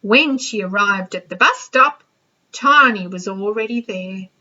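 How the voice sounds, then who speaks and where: A woman librarian reading a children's storybook aloud with expressive narration, on a slightly dull recording. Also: mid-range voice, a little slow.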